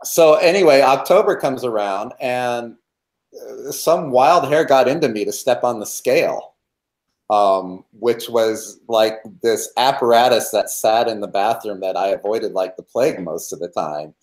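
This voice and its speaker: A man's voice: medium pitch, measured pace, a little mellow. A man around fifty, a podcast guest, candidly telling his own story with self-deprecating humor and emphatic repetitions.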